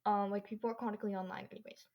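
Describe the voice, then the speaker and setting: A woman's voice: medium pitch, level tone, racing, lo-fi sound. Rambling, chatty teenage girl podcaster. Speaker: podcast host. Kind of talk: casual solo podcast chat. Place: own bedroom.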